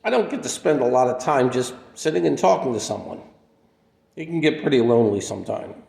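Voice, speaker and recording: A man's voice: mid-range voice, animated, fairly quick, creaky, darkish tone. A man playing a kindly, lonely wandering healer in a radio play, speaking in an earnest, folksy, reflective way. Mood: fond farewell. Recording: echoey room with long reverb.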